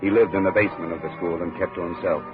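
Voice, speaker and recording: An actor playing a hard-boiled insurance investigator in a 1950s radio drama, with clipped, dry, deadpan delivery. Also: male voice, deep voice, fast talker, close to an everyday voice. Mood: terse narration. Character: hard-boiled, terse male insurance investigator narrating his case. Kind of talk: 1950s radio detective drama acting and narration. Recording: muffled vintage radio recording with music.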